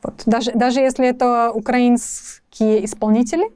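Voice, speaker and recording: A woman's voice: on the high side, little pitch movement, moderate pace, darkish tone, clear, resonant tone. A young woman from Ukraine, a guest in a conversational interview, speaking thoughtfully with frequent restarts and hesitations.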